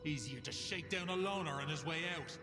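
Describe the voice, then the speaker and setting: A man's voice: on the high side, fairly quick, lightly acted. A man playing a bitter, sardonic, foul-mouthed scavenger in a video game. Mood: bitter, irritated. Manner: sardonic, coarse. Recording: echoey reverberant space under music.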